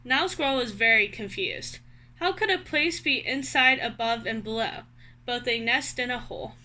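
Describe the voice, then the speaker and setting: A woman with a high, bright voice reads a children's story aloud. She is expressive and speaks directly to her young listeners, guiding them through the tale. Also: normal speed. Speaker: read-aloud host.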